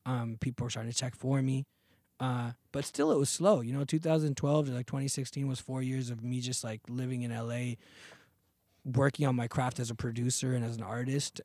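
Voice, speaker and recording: A man in his thirties, a podcast guest and singer, telling stories in a relaxed, slangy way. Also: medium pitch, no room sound, clean studio sound. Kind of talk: casual interview chat.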